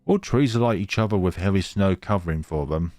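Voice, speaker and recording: A man reading a horror visual novel aloud in a theatrical, halting way, with casual asides. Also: sits low, a little mellow, no echo.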